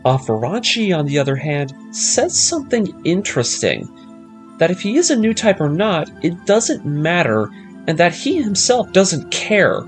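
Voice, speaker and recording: A man's voice: high voice, very animated, a little slow. A man delivering scripted narration, recapping a story in the manner of a video breakdown series. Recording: voice-over with music underneath.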